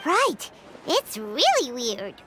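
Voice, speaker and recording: Tiny, extremely high-pitched fairy companion. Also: female voice, huge pitch swings, takes it slowly, noisy background, exaggerated voice. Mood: cheeky, confident. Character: tiny, squeaky, childlike floating fairy companion. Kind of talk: video game voice acting. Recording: loud music behind the voice.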